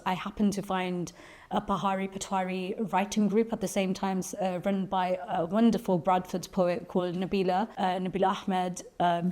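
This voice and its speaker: A woman poet, answering interview questions thoughtfully and pausing often to find her words. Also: medium pitch, dark tone. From Birmingham, England. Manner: thoughtful, searching for words with frequent ums and restarts.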